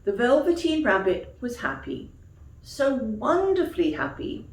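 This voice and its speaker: Slow, animated woman reading to children. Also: a little high. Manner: expressive, addresses young children with questions. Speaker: storyteller, teacher. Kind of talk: children's storytime read-aloud with explanatory asides. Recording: echoey room.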